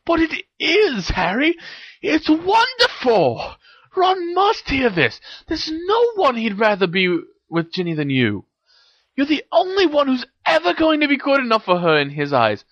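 A teasing, bossy teenage girl witch as a story reader acts her out, pitched high and very animated. She speaks in emphatic bursts, like an excitable best friend in a storybook. The sound is close and dry. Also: unhurried, no room sound, muffled recording, heavily acted. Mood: delighted.

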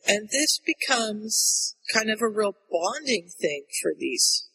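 A man co-hosting a casual book discussion, retelling the story in an animated way. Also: high-pitched, slow pace, breathy edge. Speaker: podcast co-host. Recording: long echoey reverb.

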